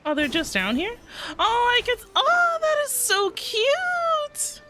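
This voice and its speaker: High-pitched, giggly woman streamer. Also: big pitch swings, slow pace, pushed and bright, slight background noise. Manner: giggly, gushing, interjecting. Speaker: streamer reacting to a game. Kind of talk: casual live game reaction. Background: game music.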